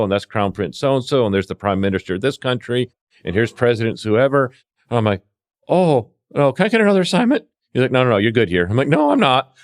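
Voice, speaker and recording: A middle-aged man with a low voice talks quickly through casual stories from his working life. He slips into quoted dialogue, re-enacting exchanges as he tells them. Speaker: podcast guest, veteran EMS worker. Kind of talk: casual anecdotal storytelling.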